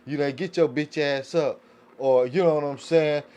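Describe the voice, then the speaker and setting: A man speaking casually in a group discussion, halting and leaning often on "you know". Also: fairly high pitch, steady tone, warm tone, lo-fi sound. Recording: faint background noise.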